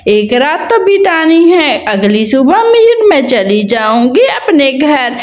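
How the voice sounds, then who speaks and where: Woman voicing a high, kind little female bird. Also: very animated, takes it slowly, full character voice. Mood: resigned, hopeful.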